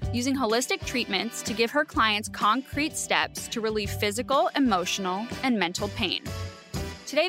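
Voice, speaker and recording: A woman's voice: on the high side, sharp tone. A woman hosting a podcast, reading an enthusiastic scripted intro and then chatting eagerly with her guest. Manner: gushing, enthusiastic. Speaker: podcast host.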